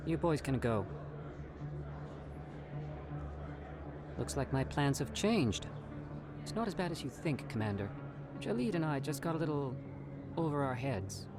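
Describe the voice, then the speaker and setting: An actor voicing an eager, evasive alien scientist in a video game, with a persuasive, self-justifying manner. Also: male voice, on the high side, very animated, normal speed. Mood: uneasy. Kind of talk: video game character acting.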